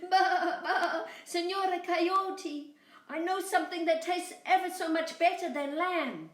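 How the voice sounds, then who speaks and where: A woman's voice: very high voice, a little slow, voice pushed up. A woman voicing a timid but cunning little female lamb in a storybook, bleating and coaxing. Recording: roomy, echoey, distant mic.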